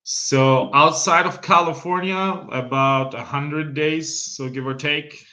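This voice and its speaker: A middle-aged man with an Italian accent talks through an interview in a bright, forceful voice. He hesitates and restarts his sentences often, with frequent laughs. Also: on the high side, steady tone, takes it slowly. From Italy. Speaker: winery sales manager guest. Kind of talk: casual interview conversation.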